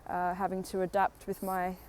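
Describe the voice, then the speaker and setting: A woman's voice: on the low side, completely flat delivery, normal speed, a little mellow, slight background noise. A woman in her thirties from Australia with an Australian accent, a tour guide chatting through a live walking tour on her phone with many "uh" fillers. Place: sunny street outdoors. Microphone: phone microphone.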